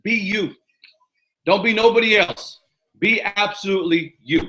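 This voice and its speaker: A man's voice: high-pitched, unhurried, fairly bright tone, poor audio. A man with a New York accent hosting a live motivational Q and A, talking emphatically and repeating himself. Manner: emphatic, repetitive, preachy, keeps asking "you know what I'm saying". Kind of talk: live-stream Q and A monologue. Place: living in Arizona.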